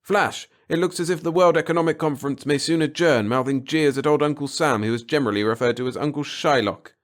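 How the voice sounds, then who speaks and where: A man voicing a gritty, croaking 1930s radio newscaster, declaiming clipped news bulletins. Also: a little high, fast, pitched above the performer's own voice, lightly acted. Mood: brisk announcing. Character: gritty old-fashioned radio newscaster barking flash bulletins.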